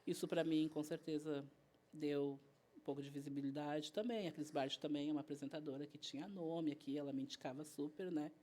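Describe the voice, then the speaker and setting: A woman's voice: deep voice, steady tone, brisk, dark tone. A woman guest chef with a southern Brazilian accent, telling stories in a casual interview and acting out bits of dialogue as she goes. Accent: southern Brazilian Portuguese. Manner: chatty, retells events by acting out dialogue.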